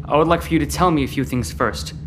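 A man's voice: a little high, fast talker, music in the background, natural voice. An actor playing a defiant, earnest teenage boy full of questions in a sci-fi audio drama. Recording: long reverb, faint noise.

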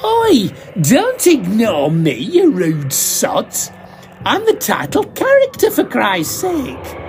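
A man's voice: extremely high pitch, huge pitch swings, a little slow, a little mellow, a little hiss or hum, voice pushed up, exaggerated voice. A man doing a drunk, ranting old ham actor. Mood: drunken ranting. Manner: slurred, ranting, indignant. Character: drunken slurring male pantomime actor.

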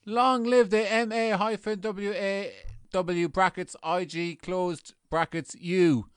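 A man's voice: high-pitched, steady tone, takes it slowly, clear, resonant tone, dry recording, studio quality. A man playing an eager union member cheering a leader's speech in a comedy sketch. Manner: cheering, loud heckles. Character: eager male union member in a rally crowd.

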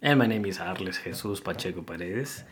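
A man from Venezuela speaking as an interview guest in a casual, animated way. He often repeats words while he searches for what to say. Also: sits low, a little fast, slight background noise. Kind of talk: casual conversation.

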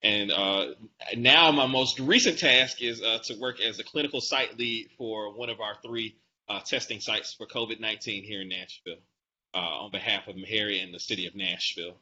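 Guy in his 40s, really bright forceful voice, chatting as interview guest, bit muffled with faint noise. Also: on the low side, moderate pace. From Atlanta, Georgia, United States. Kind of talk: conversational interview answers.

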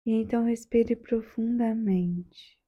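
A woman with a Brazilian accent leading a guided meditation in a soft, soothing, gentle way, leaving long pauses. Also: a little high, steady tone, muffled recording.

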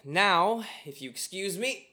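An actor playing a sardonic but dutiful grown son in a radio play, with a dry, apologetic manner. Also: male voice, high voice, animated, measured pace, sharp tone, heavily acted. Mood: apologetic, resigned.

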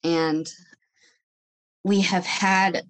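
A woman's voice: lowish voice, little pitch movement, drawn-out words, low-quality recording. A woman in her thirties, a guest telling her own story in an earnest, reflective way. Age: 30s. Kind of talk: personal testimony.

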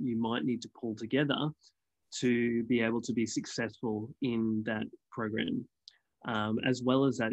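A man explaining the award submission process on a webinar in a measured way, often pausing on ums. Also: mid-range voice, darkish tone, muffled recording. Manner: measured, many ums and restarts. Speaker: awards consultant guest.

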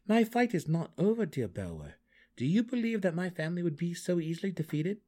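A man voicing a resolute, brooding male dark elf exile in a fantasy audiobook, speaking earnestly and formally with defiance. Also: sits high, sing-song, a little fast, pitched above the performer's own voice. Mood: firm. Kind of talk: fantasy audiobook character acting.

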